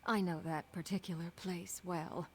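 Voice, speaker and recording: Husky, wry temple priestess. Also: female voice, lowish voice, fairly even intonation, normal speed. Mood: wry, serene. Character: courteous, wry priestess of a love goddess. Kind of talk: video game character acting.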